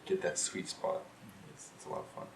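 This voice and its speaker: A young man answering interview questions in a relaxed way, thinking aloud with lots of ums and uhs. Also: a little fast. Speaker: interviewee, rover systems engineer. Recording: roomy, distant mic, clear background noise.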